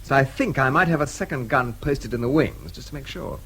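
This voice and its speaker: An actor playing a brash, witty, hard-drinking English agent in a radio drama, flippant and breezy, with an English accent. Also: male voice, mid-range voice, expressive, brisk, a bit bright, husky. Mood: shrewd.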